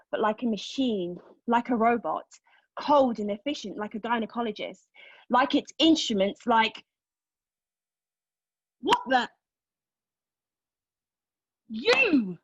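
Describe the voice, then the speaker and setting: Husky, anguished pregnant woman, played by an actress. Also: fairly high pitch, dry recording. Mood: bitter, intense. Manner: intense, deliberate, emotionally exposed delivery. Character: anguished, bitter, sardonic pregnant woman telling her story. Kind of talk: dramatic monologue at a table read. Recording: slightly dull, lo-fi sound.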